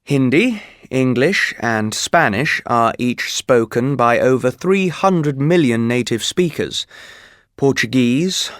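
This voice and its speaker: Measured male textbook narrator. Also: mid-range voice, no echo, clean studio sound. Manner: steady, measured, explanatory. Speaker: textbook audio reader. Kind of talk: scripted educational narration.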